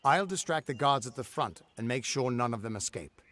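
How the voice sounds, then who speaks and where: A man's voice: mid-range voice, expressive, fairly quick, fairly bright tone, roomy sound, slight background noise, close to an everyday voice. A man playing a middle-aged, boastful but loyal veteran adventurer in a fantasy game, with a theatrical, earnest delivery. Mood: determined. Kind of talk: fantasy game dialogue acting.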